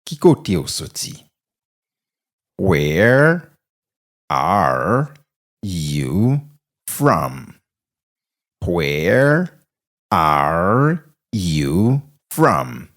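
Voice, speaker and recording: A man with a Haitian Creole accent teaching English, repeating each phrase slowly word by word and explaining in Creole. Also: low-pitched, very animated, fairly bright tone.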